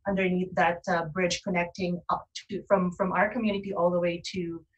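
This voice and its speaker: A woman's voice: lowish voice, little pitch movement, a little fast, muffled recording, a little hiss or hum. Woman co-host, distant on video call. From lives in Canada. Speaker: online radio talk show co-host. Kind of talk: casual chat.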